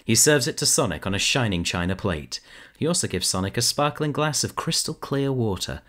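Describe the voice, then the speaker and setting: A man's voice: medium pitch, animated, brisk, a bit bright. A man narrating a fan audio drama in a plain, matter-of-fact way. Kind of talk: scripted narration.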